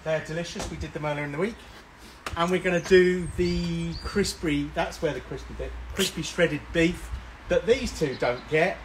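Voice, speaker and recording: A man's voice: a little high, moderate pace, rough recording. A middle-aged man with a cold, hosting a live barbecue cook outdoors in a cold garden, chatty and jokey, filmed on a handheld phone. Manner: chatty, jokey, rambling with ums. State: sniffly with a cold, coughing. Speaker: barbecue cooking host. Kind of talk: casual live cooking stream. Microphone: phone camera held by another person. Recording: outdoor background noise. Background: outdoor ambience.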